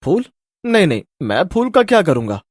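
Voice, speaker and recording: An actor playing a naive, lovestruck young man with a husky voice and an earnest, wondering way of talking in a horror story. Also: high-pitched, wildly swooping pitch, normal speed, no echo, studio quality, full character voice. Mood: bemused.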